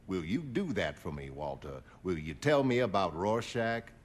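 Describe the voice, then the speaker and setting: A man's voice: sits low, very animated, moderate pace. A man playing an earnest, mild middle-aged prison psychiatrist in a motion comic, polite and hesitant. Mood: gentle, probing. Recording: music behind the voice.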